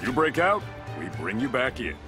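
A man's voice: mid-range voice, sing-song, normal speed, lightly acted. A man voicing an earnest, principled superhero in a video game, firm and reasoning. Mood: firm appeal. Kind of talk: video game dramatic acting. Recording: loud music under the dialogue.

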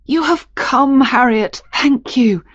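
A woman narrator voicing a lovelorn gentleman captain, earnest and romantic, in a storybook. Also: mid-range voice, measured pace, no room sound, dull audio, close to an everyday voice. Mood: warm, grateful. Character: ardent, pleading gentleman captain in love.

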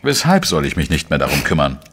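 A man playing a dogged, wry investigator in a crime radio play, speaking and narrating in a deliberate, reflective way. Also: rumbling low, huge pitch swings, fairly bright tone, slight background noise, exaggerated voice. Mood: probing, calm. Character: dogged, wry male journalist-sleuth narrating his own case. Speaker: lead actor and first-person narrator. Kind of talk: German crime audio drama, narration and dialogue.

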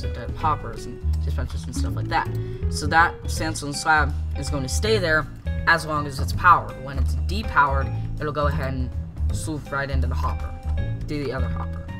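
A young man with a congested, stuffy voice from a cold, hosting a casual game tutorial, rambling and correcting himself with many ums. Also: fairly high pitch.

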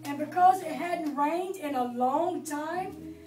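A woman's voice: high voice, takes it slowly, darkish tone. A middle-aged woman reading a Bible story to preschool children in a church classroom, with prompts for them to join in. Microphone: far camera. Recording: echoey room from a distance.

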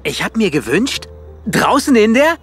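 An actor playing an excitable, dreamy, cheeky zebra in an animated film radio play, chatty and exclamatory. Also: male voice, sits high, a little slow, breathy edge, faint music behind, heavily acted. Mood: dreamy, eager.

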